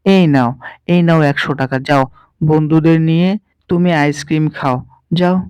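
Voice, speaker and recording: A man's voice: fairly high pitch, moderate pace, darkish tone, smooth, dry recording, lightly acted. A man voicing a young uncle in a cartoon, scolding and impatient. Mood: flustered. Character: young uncle, annoyed then flustered.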